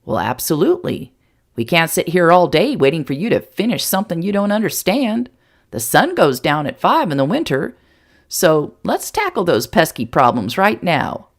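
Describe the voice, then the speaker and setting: A woman's voice: fairly low pitch, very animated, moderate pace. A woman voicing a kindly, folksy grandfather who serves as town mayor. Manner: folksy and reassuring.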